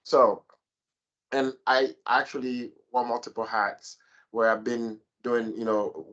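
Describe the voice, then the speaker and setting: A man's voice: mid-range voice, steady tone, slow, a bit bright, muffled recording. A man who works as a program manager, giving a structured, step-by-step explanation on a panel over a video call.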